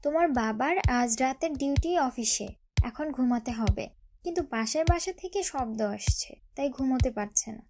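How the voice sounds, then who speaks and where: A woman playing a gentle cartoon mother. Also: sits high, animated, moderate pace, soft background music. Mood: affectionate. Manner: soft, affectionate.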